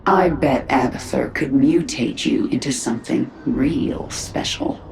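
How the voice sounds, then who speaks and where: A woman's voice: rumbling low, unhurried, creaky, crowd noise, heavily acted, rough recording. An actress playing a sardonic, battle-hardened female leader of an alien swarm in a video game, with a dry, sarcastic delivery. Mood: annoyed, sarcastic. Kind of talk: video game cutscene acting.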